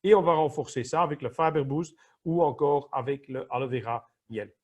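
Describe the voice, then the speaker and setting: A man's voice: on the high side, normal speed, muffled recording. A middle-aged Flemish man, an emergency nurse, explaining health topics in a training session, sometimes searching for his words. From Flanders, Belgium. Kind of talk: online training presentation.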